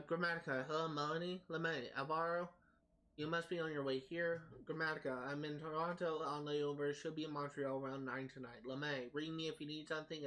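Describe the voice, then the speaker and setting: A man reading in-game text aloud on a gaming livestream, haltingly, often stumbling over words and names. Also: a little high, fairly bright tone. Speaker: livestream host.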